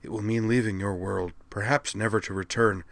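A man voicing a tiny, haggard male master mage in a fantasy story, soft and raspy, speaking formally and gravely. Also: fairly low pitch, fairly even intonation, a little fast, no echo. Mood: grave pleading. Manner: formal, solemn, earnest speech. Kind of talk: fantasy audiobook character dialogue.

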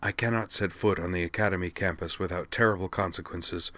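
Man voicing a soft, weary little horned mage. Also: low voice, fairly even intonation, a little fast. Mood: grave, urgent. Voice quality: soft, raspy, overused voice. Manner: formal, solemn, earnest speech. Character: tiny haggard horned male master mage, grave, weary, urgent. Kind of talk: fantasy audiobook character dialogue.

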